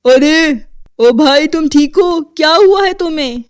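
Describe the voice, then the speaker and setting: A woman voicing a skeptical, dismissive village man in an animated horror story. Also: high voice, a little slow. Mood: concerned.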